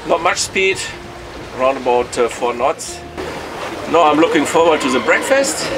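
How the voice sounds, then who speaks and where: A middle-aged man, a German native speaker, chatting casually to camera from a sailboat cockpit at sea, with plain wording and frequent pauses. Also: medium pitch. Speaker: solo sailor vlogger. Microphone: camera mic at close range. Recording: wind and water noise at sea.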